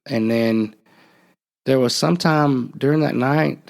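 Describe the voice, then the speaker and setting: A man telling a personal story on a podcast, halting and reflective, often trailing off. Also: medium pitch, vocal fry. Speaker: podcast host.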